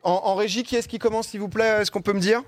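A man hosting a guessing game, upbeat and halting, with many fillers and repeated words. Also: high-pitched, steady tone. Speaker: game host.

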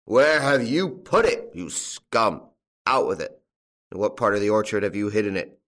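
A man's voice: fairly low pitch, expressive, normal speed. A man voicing an angry, domineering farmer in an audiobook, with a growling, bullying delivery. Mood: enraged. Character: angry domineering male farmer demanding his stolen money. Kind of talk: audiobook character acting.

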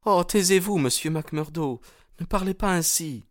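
Man voicing an anxious, pleading young woman, pitched high. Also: a little slow, dry recording, studio quality, close to an everyday voice. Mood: distressed, refusing. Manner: pleading, earnest. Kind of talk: audiobook character dialogue.

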